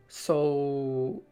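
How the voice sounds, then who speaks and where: A man live-streaming while he codes, thinking aloud in a halting way, with many fillers and restarts. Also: fairly high pitch, warm tone, clean tone. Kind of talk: casual live-stream talk while coding.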